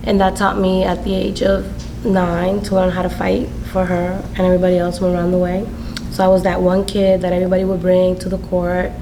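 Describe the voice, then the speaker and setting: A woman, originally from the Dominican Republic, talks through her story in an earnest, steady way. Her voice sits low and stays fairly flat. A clear hum of noise runs behind her. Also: fairly quick. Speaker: city council candidate. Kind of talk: interview guest telling her story. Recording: noisy background.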